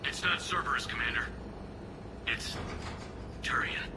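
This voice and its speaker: An actor playing an earnest, dutiful alien soldier in a video game, reporting in a formal military way. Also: male voice, medium pitch, a little slow, natural voice. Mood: tense, dutiful. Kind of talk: video game cutscene acting. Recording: clear vehicle noise behind the voice.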